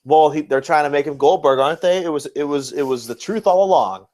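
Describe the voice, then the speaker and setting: A man's voice: a little high, a little fast, darkish tone. Blunt male podcast co-host, repetitive for emphasis. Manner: repeats phrases for emphasis, blunt and critical. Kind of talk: casual wrestling commentary chat.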